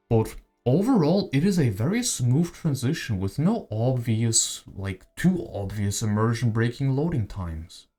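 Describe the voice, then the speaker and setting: A man's voice: mid-range voice, warm tone. A man narrating a video essay on games as its creator, conversational and given to wry asides. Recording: voice-over with music behind. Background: background music.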